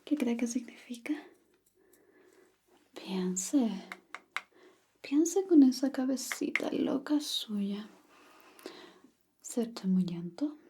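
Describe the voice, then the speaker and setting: A woman whispering softly and teasingly, quizzing the listener on Chilean slang in an ASMR roleplay. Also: fairly high pitch, expressive, a little hiss or hum. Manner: slow, soft, teasing like a teacher. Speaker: Spanish slang teacher.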